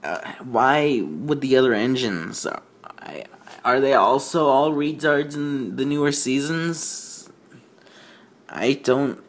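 Slow-talking, exasperated man reading fan fiction. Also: medium pitch. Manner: exasperated, incredulous, halting with stammered repeats. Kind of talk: mocking read-aloud with off-the-cuff commentary.

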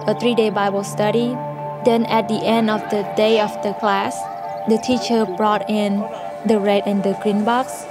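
Young woman, flat steady voice. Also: mid-range voice. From Cambodia. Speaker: interviewee. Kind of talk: personal testimony. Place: indoor studio interview set. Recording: music underneath.

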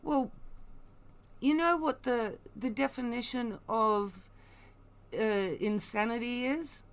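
A woman's voice: fairly high pitch, slow. Blunt woman commentator. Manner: blunt, emphatic, mocking. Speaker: online commentator. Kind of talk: opinionated unscripted commentary.

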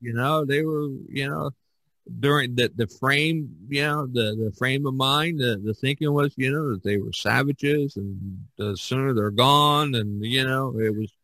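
A man hosting a podcast in casual discussion, halting and repeating himself as he searches for words. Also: medium pitch, bright, forceful tone, dry recording, low-quality recording. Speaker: podcast host.

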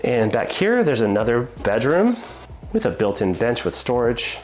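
A man's voice: mid-range voice, big pitch swings, moderate pace, soft background music. An enthusiastic man in his thirties leads a walkthrough tour of a big empty home, talking to camera as he points things out. He has a mid-range voice. The bare rooms give his voice a roomy echo. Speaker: real estate agent giving a house tour. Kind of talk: walkthrough house tour narration. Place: empty large house, echoey tiled rooms and basement. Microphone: handheld camera, small clip-on mic.

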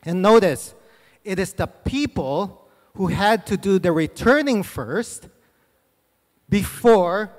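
A man's voice: sits high, expressive, slow, pauses often. A middle-aged man from the Philippines, preaching conversationally on a church stage with a handheld mic. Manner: conversational, explaining scripture with asides. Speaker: pastor. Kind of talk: sermon teaching. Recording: reverberant hall.

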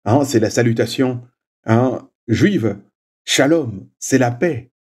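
A man whose first language is Swahili, leading a prayer and giving a slow, measured homily with frequent little "hein" asides. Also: mid-range voice, expressive, a little mellow, husky. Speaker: preacher.

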